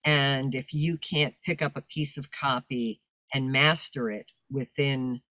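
An older woman, a voice-over announcer and coach, talking casually in a video-call interview with a deep, commanding voice and a big, projecting manner. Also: fairly even intonation, unhurried, a bit bright, no echo. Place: home room with fur-draped chair.

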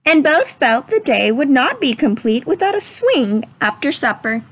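High-voiced young woman talking to children. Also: big pitch swings, normal speed. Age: young adult. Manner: simple, instructive, addressing children. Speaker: read-aloud storyteller for children. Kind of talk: children's picture book read-aloud and chat to young viewers. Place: outdoors under a covered wooden pavilion.

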